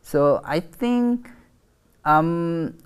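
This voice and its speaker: A middle-aged woman from Korea with a Korean accent, speaking non-native English in an interview, halting with many fillers and restarts. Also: lowish voice, animated, smooth. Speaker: artist guest.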